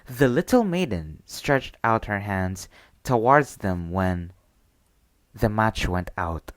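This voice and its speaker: A man reading a bedtime story as ASMR, with a gentle, deliberate storybook delivery. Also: fairly low pitch, no echo.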